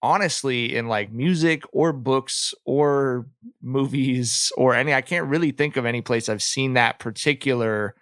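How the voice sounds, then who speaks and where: A man co-hosting a podcast, talking casually and reflectively in a halting, thinking-aloud way. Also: medium pitch, fairly bright tone, dry recording, clean studio sound. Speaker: podcast co-host. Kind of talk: casual reflective book discussion.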